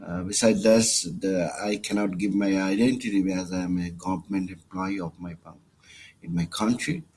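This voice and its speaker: A man with a Bangladeshi accent leaving a personal voicemail message, with halting, hesitant phrasing. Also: low-pitched, steady tone, darkish tone. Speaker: caller. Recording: noisy voicemail recording.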